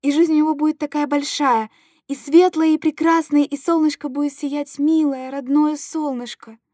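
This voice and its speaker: A woman's voice: high-pitched, steady tone, normal speed, mellow, dry recording, clean studio sound, natural voice. A woman playing an anguished, tender mother who coos simple, repetitive stories to her little boy in a dramatic monologue. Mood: tender, aching. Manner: cooing, repetitive, childlike storytelling to a small child. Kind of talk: dramatic literary monologue reading.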